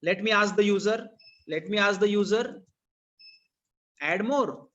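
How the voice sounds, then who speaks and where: A man's voice: high voice, a little slow, fairly bright tone. A man with an Indian accent teaching programming in a live online lesson, explaining didactically and repeating phrases for emphasis. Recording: dull, lo-fi online meeting audio.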